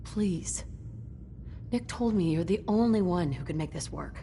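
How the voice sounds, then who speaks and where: A woman's voice: fairly low pitch, expressive, a little slow, dark tone, slight background noise. An actress playing an earnest, determined mother in a video game, with a direct delivery. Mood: pleading. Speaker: player protagonist, searching parent.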